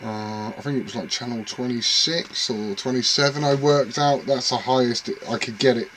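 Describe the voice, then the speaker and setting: A man's voice: mid-range voice, normal speed, a bit bright, slight background noise, low-quality recording. A man rambling casually and self-deprecatingly to camera about his radio gear at home. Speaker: CB radio hobbyist vlogger.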